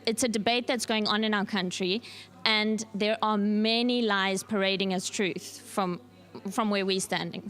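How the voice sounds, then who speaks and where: A woman's voice: mid-range voice, fairly bright tone. A woman giving a church workshop talk, speaking casually and checking in with the listeners as she goes. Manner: casual, often checks in with the audience. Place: church workshop room with a live audience.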